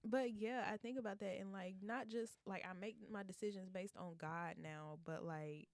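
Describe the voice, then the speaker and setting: A woman in her mid-twenties co-hosting a podcast, chatting casually and telling stories with lots of fillers and quick laughs. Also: medium pitch, no room sound. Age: 20s. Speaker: podcast co-host. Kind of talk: casual chat.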